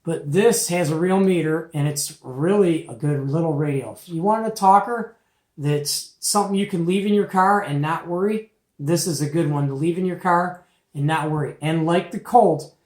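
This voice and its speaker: A man's voice: a little high, normal speed, darkish tone. A middle-aged man giving a casual, folksy and opinionated product show-and-tell as the host. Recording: echoey, roomy home recording.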